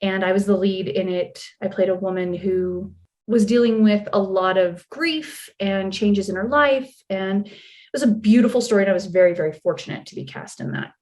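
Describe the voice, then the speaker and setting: A woman's voice: medium pitch, moderate pace, a little mellow. An American woman, an actress being interviewed, telling stories casually in a chatty, self-deprecating way. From California and Oregon, United States. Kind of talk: casual storytelling chat.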